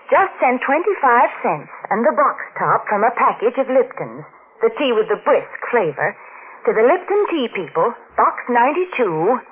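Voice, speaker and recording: Female 1940s radio pitchwoman, animated delivery, narrow crackly broadcast sound. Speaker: commercial announcer. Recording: tinny, noisy 1940s radio recording.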